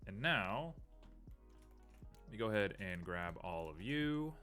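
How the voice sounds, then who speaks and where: A man's voice: fairly low pitch, very animated, slow pace, pushed and bright, faint music behind. A man streaming live while building a 3D model, thinking aloud with frequent ums, a little sniffly. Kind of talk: casual live-stream commentary while working.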